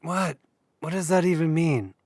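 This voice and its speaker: A man's voice: on the high side, unhurried, darkish tone, no echo, clean studio sound. A man playing an easygoing, sceptical young housemate, casual and lightly wry, in naturalistic audio drama. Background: music.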